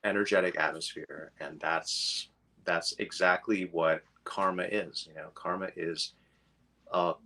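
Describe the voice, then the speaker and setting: A man's voice: deep voice, steady tone, measured pace, bright, forceful tone. A man teaching meditation in a casual, rambling way, full of fillers and easy laughter.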